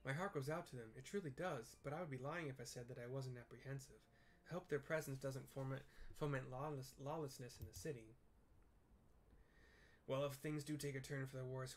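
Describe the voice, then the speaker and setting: A man's voice: mid-range voice, fairly even intonation, a little fast, slight background noise, lightly acted. A man voicing a grave, world-weary townsman in a fantasy game, with formal old-fashioned phrasing. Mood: apprehensive.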